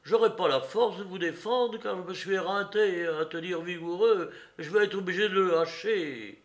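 Audiobook role: rough, easily riled fairground man, acted by the narrator, loud, blustering, pitched up, colloquial. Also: moderate pace. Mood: alarmed, pleading.

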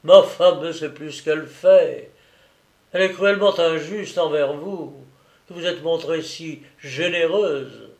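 A man's voice: a little high, unhurried, darkish tone, voice pushed up. A man reading aloud, playing an older baron who stammers out urgent, distraught apologies. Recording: echoey room.

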